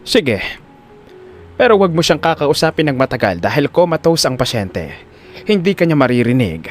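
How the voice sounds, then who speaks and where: A man voicing a corrupt, greedy doctor with a formal, grave, measured manner in an audio drama. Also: fairly high pitch, sing-song, faint music behind.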